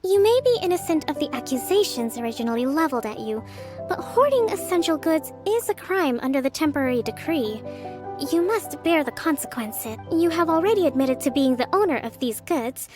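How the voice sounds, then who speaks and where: High-pitched, composed priestess leader. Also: female voice, sing-song, music in the background. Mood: firm, formal. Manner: formal, measured, authoritative. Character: composed, principled young female priestess and island leader. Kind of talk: video game character dialogue.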